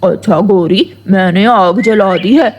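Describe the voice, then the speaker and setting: A woman's voice: mid-range voice, takes it slowly, darkish tone, a little hiss or hum, voice pushed down, natural voice. A woman voicing a mocking, easygoing husband crow in a children's cartoon, with a drawling delivery. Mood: mocking, amused. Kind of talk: Hindi children's cartoon voice acting.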